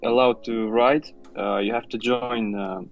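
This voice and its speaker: A young man from Romania, co-hosting a casual live stream, relaxed and chuckling now and then. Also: mid-range voice, unhurried. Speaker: co-host. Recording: dull lo-fi stream audio with faint music.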